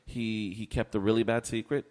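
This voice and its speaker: A man's voice: on the low side, flat delivery, moderate pace, a little mellow. A man co-hosting a talk podcast, chatting casually and giving his opinions with emphasis. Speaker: podcast co-host. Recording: long reverberant echo, hall-like room.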